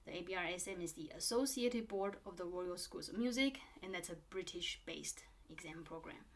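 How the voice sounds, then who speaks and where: A woman's voice: fairly low pitch, moderate pace. A middle-aged woman who teaches piano talks casually to camera, answering a viewer's question. She explains things in a friendly way and uses a lot of fillers. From born in Taiwan, grew up in New Zealand.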